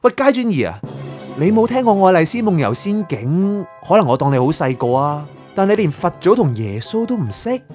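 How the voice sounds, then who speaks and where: A man's voice: high voice, very animated, normal speed, a little mellow, faint music behind. A man with a Hong Kong Cantonese accent playing a curious, chatty young man in an audio drama. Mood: incredulous. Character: curious, chatty young man probing a soul prosecutor's past.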